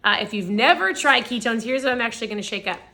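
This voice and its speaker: A chatty woman in her forties hosts a live stream, talking straight to her viewers in an upbeat way. Her voice is fairly high and very bright, yet hoarse and a little raspy. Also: lively intonation, fast talker. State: hoarse from screaming. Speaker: host of a live video. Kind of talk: casual live-stream chat.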